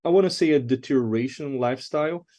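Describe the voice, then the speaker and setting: A man's voice: mid-range voice, fast, dark tone. A man speaking as an expert doctor, explaining things conversationally and often checking in with the listener. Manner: explanatory, frequent check-in tags.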